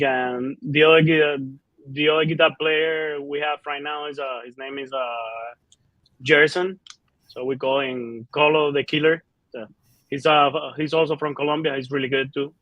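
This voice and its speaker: Halting Cuban-born metal singer, dry sound. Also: male voice, medium pitch, dry recording, dull audio. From Cuba. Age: 30s. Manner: halting, many fillers and restarts. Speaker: band singer and bassist. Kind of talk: casual interview answers.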